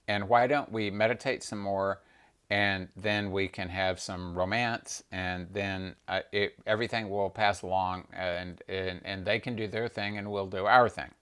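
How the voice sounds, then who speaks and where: A man voicing a calm, conciliatory god and husband in a storytelling session, soft-spoken, hesitant and placating. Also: low-pitched, unhurried, clean tone.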